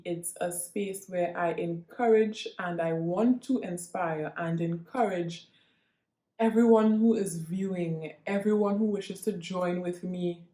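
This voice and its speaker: A woman's voice: mid-range voice, darkish tone. A Trinidadian woman vlogging to her viewers in an earnest, reflective monologue, often restarting and repeating herself as she gathers her thoughts. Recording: echoey room.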